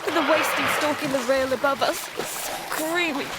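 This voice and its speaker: A woman playing a terrified child who narrates a disaster at sea in halting, urgent first-person drama. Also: high-pitched, very noisy, close to an everyday voice. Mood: panicked. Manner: halting, repeating words, breathy urgency. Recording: loud dramatic music behind. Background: dramatic music.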